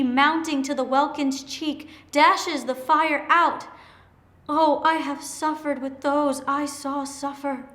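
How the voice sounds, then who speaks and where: An actress playing a tender-hearted, anxious young noble daughter in earnest, theatrical Shakespearean verse. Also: female voice, sits high, fairly bright tone. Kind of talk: Shakespearean dramatic reading. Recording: roomy, echoing sound.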